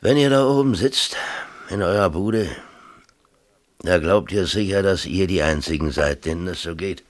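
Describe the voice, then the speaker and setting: An actor in a 1970s East German radio play, playing a harried but jovial middle-aged local official who talks colloquially and hesitantly, with many tag questions. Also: male voice, sits low, moderate pace, dry recording, lightly acted. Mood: earnest, coaxing.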